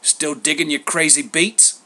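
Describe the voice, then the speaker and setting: A man's voice: fairly high pitch, fairly even intonation, unhurried, pushed and bright, voice pushed up. A man voicing a huge bearded god as a slangy, boastful, wheedling hustler in a comic story. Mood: bragging. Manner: slangy, schmoozing, boastful, theatrically wounded.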